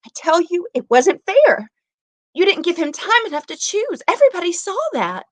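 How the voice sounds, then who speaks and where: Storybook housewife, played by a woman, high-pitched, aggrieved and insistent. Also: big pitch swings, normal speed. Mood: insistent protest. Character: indignant housewife loudly protesting unfair treatment.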